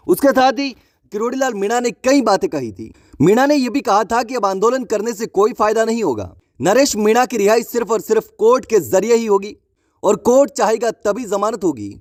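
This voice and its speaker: Male news presenter, high voice, dry close sound, emphatic viewer-directed delivery. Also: no room sound. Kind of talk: online news commentary narration.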